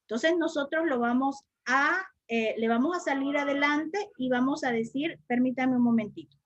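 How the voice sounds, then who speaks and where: A woman's voice: high-pitched, moderate pace, highs cut off. A woman teaching a spiritual study class, speaking reflectively and repeating phrases for emphasis. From El Salvador.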